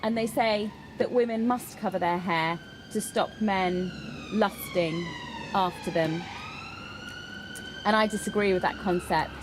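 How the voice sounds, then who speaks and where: A woman voicing a signed personal statement as an interpreter, in halting phrases with pauses. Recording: clear background noise, ambient rumble.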